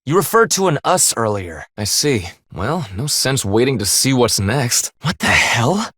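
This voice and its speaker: A man's voice: medium pitch, very animated, measured pace, fairly bright tone, husky, no echo, clean studio sound, heavily acted. A man voicing a stern, blunt young half-human leader in a video game, speaking in a clipped, formal, commanding way. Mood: businesslike. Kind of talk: English video game voice acting.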